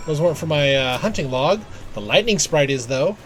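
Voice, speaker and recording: A man giving casual live game commentary, thinking aloud in halting fragments as he plays. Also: fairly high pitch, animated, soft background music. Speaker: game streamer.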